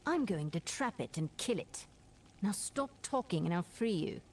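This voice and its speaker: A woman's voice: lowish voice, lively intonation, normal speed, darkish tone, slight background noise. A woman voicing a brash, proud young demon hunter in a video game, blunt and commanding. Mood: brusque, determined. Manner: blunt, commanding, self-assured.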